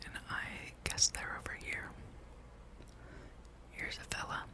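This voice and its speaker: A person whispering a relaxed ASMR gameplay commentary, breathy and rambling, with dry, wry asides. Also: slow pace. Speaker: host of a gaming ASMR channel.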